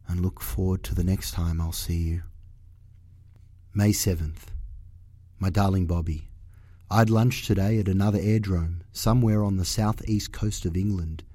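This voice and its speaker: A man playing a lovesick wartime airman, reading a tender, intimate love letter at a measured pace. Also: deep voice, dark tone, dry recording. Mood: tender, longing. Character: lovesick wartime airman writing to his sweetheart.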